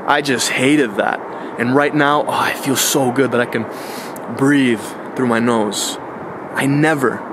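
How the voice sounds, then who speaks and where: Chatty thirty-year-old man, traffic behind. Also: mid-range voice. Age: 30s. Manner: relaxed and chatty, with slangy asides. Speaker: vlogger. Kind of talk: casual reflective monologue.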